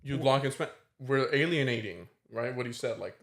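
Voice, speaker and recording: A man's voice: mid-range voice, moderate pace, a bit bright. American male band member, mid-range voice, asks questions and thinks aloud. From United States. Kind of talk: casual podcast chat.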